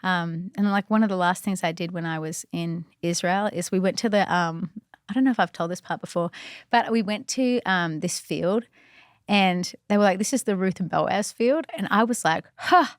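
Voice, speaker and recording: A woman with a fairly low voice shares personal faith stories as a podcast guest. She is chatty and earnest and often fills her sentences with "like" and "you know". The sound is dry and close, with no room echo. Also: moderate pace. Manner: earnest and reflective. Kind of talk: casual faith storytelling.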